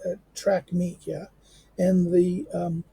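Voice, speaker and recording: A hoarse man reads a history book aloud as a teacher would, stopping often to hesitate and start words over. His voice sits fairly high but sounds dark and gravelly, with a rough, raspy edge. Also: level tone, takes it slowly. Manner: hesitant, many fillers and restarts. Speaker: teacher reading a classic text aloud. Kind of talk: halting read-aloud with commentary.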